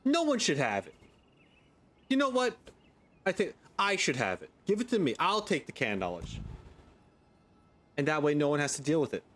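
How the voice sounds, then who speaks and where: A man's voice: a little high, wildly swooping pitch, normal speed, long pauses, a bit bright. A man giving casual reaction commentary as a game streamer, opinionated, informal and jokey.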